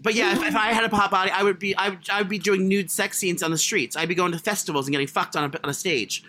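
A man with a high voice chatting as a podcast co-host, crude, rambling and self-mocking. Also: steady tone, fast talker, bright, forceful tone. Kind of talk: casual chat.

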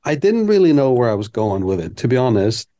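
Man, composer guest; warm, relaxed tone, candid and reflective, talks things through as he goes. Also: mid-range voice, a little fast. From Iceland. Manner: candid, reflective, thinks aloud. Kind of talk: casual interview chat.

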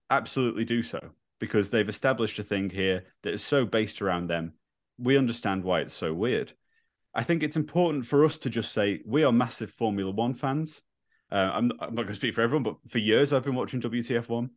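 A man's voice: lowish voice, expressive, normal speed. A man co-hosting a podcast, speaking candidly and reassuringly to viewers, admitting he is a bit nervous. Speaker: podcast co-host. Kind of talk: earnest address to viewers.

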